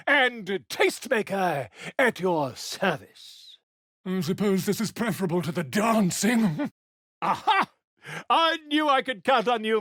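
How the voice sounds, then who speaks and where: A man's voice: sits high, fairly bright tone, no room sound, heavily acted. Video game role: a flamboyant, grandiloquent male chronicler, sing-song delivery, dry close recording. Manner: grandiloquent, theatrical flourishes.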